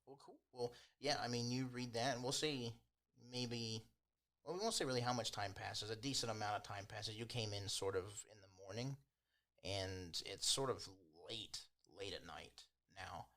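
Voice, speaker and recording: Man, lowish pitch, game master, casual improvised narration, informal storytelling. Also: moderate pace, fairly bright tone.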